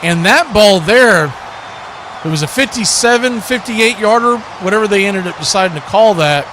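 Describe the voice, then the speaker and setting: A man's voice: high voice, lively intonation, moderate pace, noisy background. A man doing live play-by-play football commentary as the announcer.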